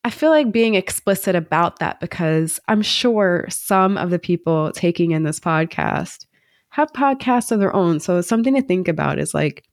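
A woman's voice: fairly low pitch, a little mellow, dry recording, studio quality. A woman co-hosting a podcast, a bit congested, talking in a thoughtful, explanatory way. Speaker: podcast co-host. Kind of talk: casual podcast chat.

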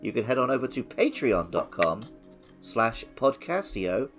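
A man's voice: medium pitch, sing-song, measured pace, music in the background. A man co-hosting a casual game podcast, jokey and self-mocking as he reads the promos. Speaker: podcast co-host.

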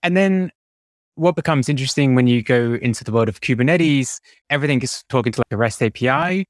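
A man's voice: mid-range voice, animated, a little fast, dry recording, clean studio sound. A man with a British accent, explaining technical work informally as a podcast guest. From United Kingdom. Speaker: podcast guest, DevOps engineer.

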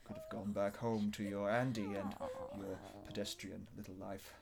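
An actor playing a desperate, unhinged husband in a horror radio drama, theatrical, shifting between mock politeness and frantic pleading. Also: male voice, on the low side, level tone, moderate pace, gravelly, a crowd in the background. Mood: menacing, mock polite. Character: desperate, unhinged husband hiding his monstrous cat wife.

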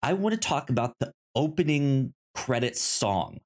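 A man hosting a podcast in a casual, chatty style, sometimes halting and repeating words as he searches for phrasing. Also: mid-range voice, expressive, darkish tone. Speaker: podcast host.

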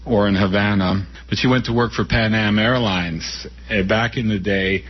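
A man in his sixties from New York City, unhurried and reminiscing as he recounts his childhood and reads from his own book, pausing often. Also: fairly low pitch, steady tone, breathy edge. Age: 60s. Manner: unhurried, reminiscing, with frequent pauses and fillers. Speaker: author and guest. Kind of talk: reflective storytelling and reading from his book. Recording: dull radio broadcast sound.

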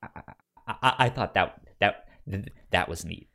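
A man co-hosting a podcast in a casual book discussion, speaking haltingly and often repeating sounds and words as he goes. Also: sits low, creaky, sharp tone. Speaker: podcast co-host.

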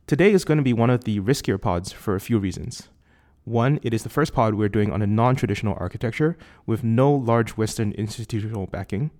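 A man with a Singaporean accent hosting a tech podcast, reading a measured, articulate prepared introduction. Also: a little mellow. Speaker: podcast host. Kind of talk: scripted intro then casual interview. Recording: lower quality recording outside a studio.

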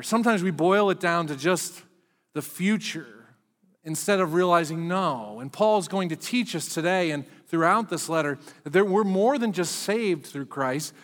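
A man's voice: sits high, fairly bright tone, long reverb tail. A middle-aged man preaching a sermon as pastor, candid and self-deprecating in a conversational way.

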